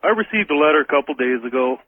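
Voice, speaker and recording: Male American caller, rough-edged and a bit high, informal and hesitant, over a narrow telephone line. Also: expressive, no room sound. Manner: halting, searching for words. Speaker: first-time radio caller. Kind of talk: casual call-in chat. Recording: thin phone line on radio.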